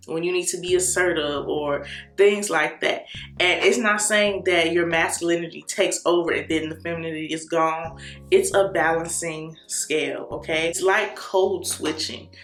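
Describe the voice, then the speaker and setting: A young woman with a Chicago accent, talking to the camera in an energetic, slangy way and laughing often. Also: deep voice. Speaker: vlogger. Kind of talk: casual talk to camera. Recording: echoey room with soft guitar music.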